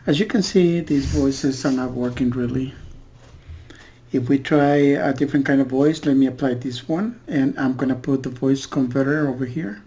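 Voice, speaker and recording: A man casually demonstrating software as a reviewer, his voice through a voice changer. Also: mid-range voice, normal speed. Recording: background noise.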